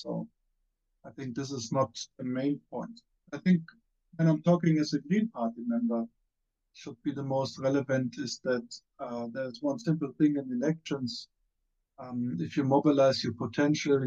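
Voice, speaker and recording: Man, German accent, hesitant with many fillers, dark mellow voice, dull video call sound. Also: medium pitch, steady tone, moderate pace. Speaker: panelist, former member of parliament. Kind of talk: online panel discussion.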